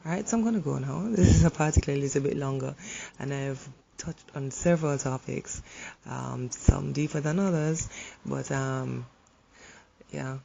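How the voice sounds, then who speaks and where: Hoarse woman with a heavily gravelly voice, earnest and halting, sharing gentle encouragement. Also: rumbling low, dull audio, a little hiss or hum. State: hoarse from the flu. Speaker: relationship speaker. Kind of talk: reflective motivational monologue.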